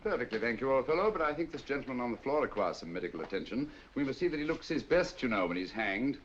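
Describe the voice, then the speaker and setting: An actor playing a cool, brilliant middle-aged detective in 1940s film style, precise and dryly ironic. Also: male voice, medium pitch, sing-song, fast, a little hiss or hum, close to an everyday voice. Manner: crisp, dry, ironic, precise. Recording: dull lo-fi 1940s film soundtrack with music.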